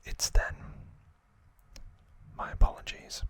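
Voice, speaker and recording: A man hosting an ASMR video, narrating a recap in a soft, breathy, unvoiced whisper, in short phrases with pauses.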